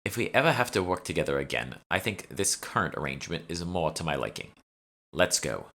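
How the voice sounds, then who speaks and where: An imperious British socialite woman, voiced by a male audiobook reader. She speaks with scornful condescension and a bright, forceful edge. She is haughty through and through. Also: low-pitched, lively intonation, a little fast, vocal fry, full character voice. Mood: condescending.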